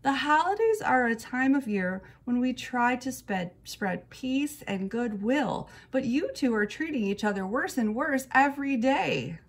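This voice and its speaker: Woman as stern schoolteacher man, creaky voice. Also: sits high, lively intonation, pitched above the performer's own voice, full character voice. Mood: calm reproach. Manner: measured, admonishing. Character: stern, exasperated male schoolteacher, correcting children.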